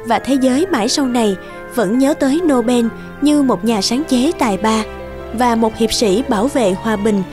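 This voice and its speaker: A woman's voice: on the high side, moderate pace, close to an everyday voice. A woman reading scripted storytelling narration. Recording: music behind the voice. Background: music.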